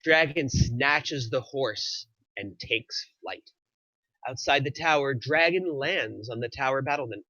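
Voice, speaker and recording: A man's voice: mid-range voice, measured pace, bright, forceful tone, no echo, dull audio. A man narrating the stage directions at a film script table read in a plain scripted delivery.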